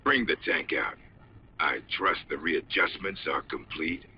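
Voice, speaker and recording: A man's voice: deep voice, lively intonation, measured pace, breathy edge, lo-fi sound, full character voice. A man playing a cold, imperious military villain in a video game, giving curt orders. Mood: cold, impatient. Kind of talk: dramatic video game acting.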